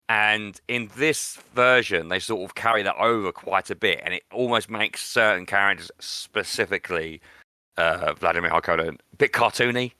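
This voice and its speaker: Male podcast co-host, opinionated and conversational, crisp bright edge, very dry close sound. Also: fairly low pitch, dry recording. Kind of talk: casual film discussion.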